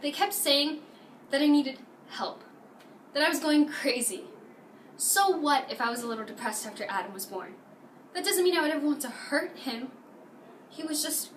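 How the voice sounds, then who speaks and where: A woman playing a distraught, defensive young mother in an intense, pleading dramatic monologue. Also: high voice. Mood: defensive, agitated. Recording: roomy, distant mic, loud background noise.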